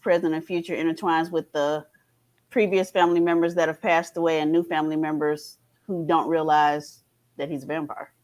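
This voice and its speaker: A woman chatting casually as a panelist in a fan discussion. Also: on the low side.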